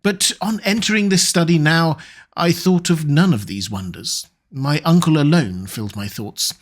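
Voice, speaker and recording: A man narrating a classic novel in a measured, even storytelling manner. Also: fairly high pitch. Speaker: audiobook narrator.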